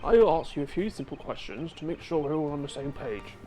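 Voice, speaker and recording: A man voicing a stern older male judge in a game dub, speaking slowly and formally. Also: on the high side, sing-song, dark tone, soft background music, rough recording. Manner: slow, formal.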